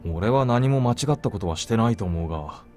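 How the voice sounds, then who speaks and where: Actor as a low-voiced, terse young soldier. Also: male voice, expressive, racing, a little mellow, faint music behind, close to an everyday voice. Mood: cool, blunt. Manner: blunt, understated. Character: cool, blunt young male soldier, gruffly reserved. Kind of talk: Japanese visual novel voice acting.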